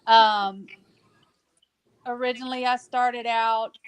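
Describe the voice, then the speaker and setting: A woman's voice: a little high, fairly even intonation, takes it slowly, clean tone. A woman from Ohio in a casual podcast chat, loosely telling about her stage role, laughing often. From Ohio, United States. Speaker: podcast guest, cast member.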